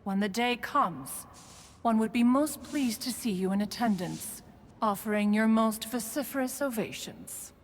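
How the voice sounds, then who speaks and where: A woman's voice: on the high side, measured pace, a little hiss or hum, close to an everyday voice. An actress playing a haughty, ancient female bird adeptus in a video game, speaking in pompous, archaic, formal language. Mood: aloof, imperious. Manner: pompous, archaic formal speech, refers to self as "one". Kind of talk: theatrical video game character acting. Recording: long hall reverb, game music behind.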